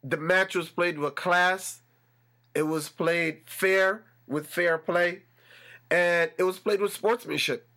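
A man hosting a solo sports podcast, a little congested and nasal, building excitement as he talks. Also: high voice, slow. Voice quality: slightly nasal. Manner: animated, builds excitement with repetition. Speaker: podcast host. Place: room with a fan running.

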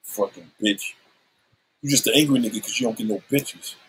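A man's voice: lowish voice, moderate pace, a little hiss or hum, low-quality recording. A man in his seventies ranting on a livestream, combative and profane, issuing threats and calling out rivals. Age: 70s.

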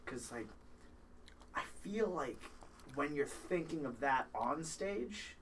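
A man's voice: a little high, animated, slow pace, a bit bright, a little hiss or hum. A young man hosting a casual podcast, curious and profane, jumping in with questions. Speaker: podcast host.

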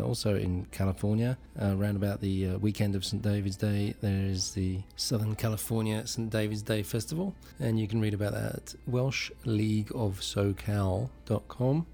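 A man's voice: fairly low pitch, steady tone, moderate pace, vocal fry, few pauses, mellow. A man hosting a music radio show, presenting casually and informally with some hesitation.

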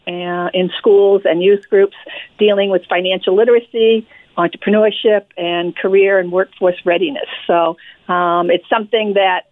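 A woman's voice: medium pitch, no room sound. An older woman with a Maine accent, a nonprofit president speaking as a guest in a casual radio interview, friendly and given to repeating words. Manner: friendly, repeats words and says "yep" often. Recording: tinny phone line, dry.

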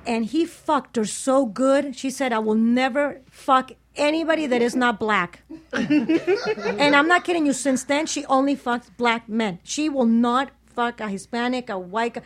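Woman, high pitch, candid, animated, bawdy casual chat, talk radio co-host. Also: measured pace.

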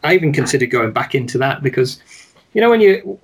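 A man talking as a guest in a casual chat, rather monotone, measured and hesitant with many restarts. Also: mid-range voice, slightly rough. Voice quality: somewhat monotone. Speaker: guest, wedding photographer.

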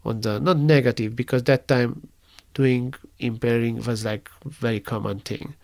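A man from Transylvania, telling a story in a halting way, with many fillers and restarts. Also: mid-range voice, fairly even intonation, creaky, a little mellow, no echo. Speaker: interviewee. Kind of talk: casual conversational storytelling.

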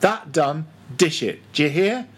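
A man's voice: a little high, animated, takes it slowly, breathy edge, voice pushed up, full character voice. A man voicing a bossy, mocking ship's mate who badgers and gives orders in a storybook reading. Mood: instructing. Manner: commanding, interrogating, mock-solemn.

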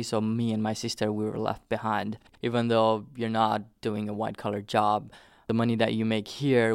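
A man's voice: fairly low pitch, gravelly, clean tone, no echo, clean studio sound. A man from Nepal with a Nepali accent, explaining their life story in a conversational way. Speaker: interviewee telling their story.

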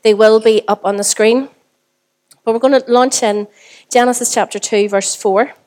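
A woman pastor with a Northern Irish accent, preaching a sermon to her congregation in a chatty way, hoarse from a cold. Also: a little high, fairly even intonation, moderate pace, darkish tone. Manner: chatty, asks the congregation rhetorical questions. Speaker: lead pastor. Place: church service.

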